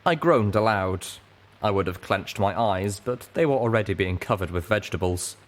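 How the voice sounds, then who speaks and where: A man's voice: on the low side, lively intonation, moderate pace, very noisy. A man reading scripted first-person fiction as an audiobook narrator. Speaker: audiobook reader.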